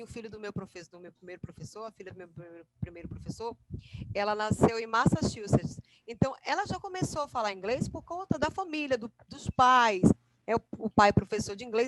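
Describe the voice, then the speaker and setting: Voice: medium pitch, fairly bright tone, no room sound, poor audio. Conversational teacher sharing a story. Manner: conversational, tells a personal anecdote. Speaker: teacher taking part in a training session. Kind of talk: casual discussion.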